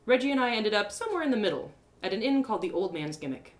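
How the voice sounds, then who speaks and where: A woman reading a first-person fantasy story as an expressive, descriptive storyteller. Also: medium pitch, fast talker.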